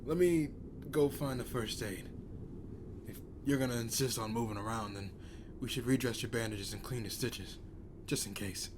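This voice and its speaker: A man playing a calm, caring friend tending wounds in an audio drama, measured and lightly wry. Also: medium pitch, close to an everyday voice. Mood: calm, practical. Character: calm, caring, drily humorous man acting as medic. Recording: clear background ambience noise.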